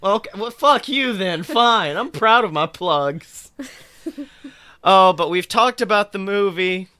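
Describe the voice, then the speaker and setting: Guy podcaster, casual and sweary, jokey reactions, clean smooth voice, really dry sound. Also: high-pitched, slow, dry recording. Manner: profane, jokey, animated reactions. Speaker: podcast co-host. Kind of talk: casual banter and mocking fan fiction read-aloud.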